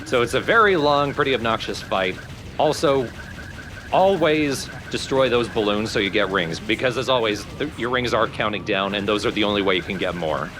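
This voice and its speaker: Low-voiced man doing casual game commentary. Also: expressive, moderate pace, noisy background. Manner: explanatory, informal. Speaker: let's play commentator. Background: game music.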